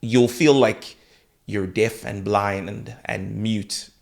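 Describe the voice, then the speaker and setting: A man hosts a relaxed podcast conversation in a somewhat low voice. He is playful and laughs easily. He often trails off and starts his sentences over. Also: slow pace, creaky. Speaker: podcast host. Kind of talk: casual chat.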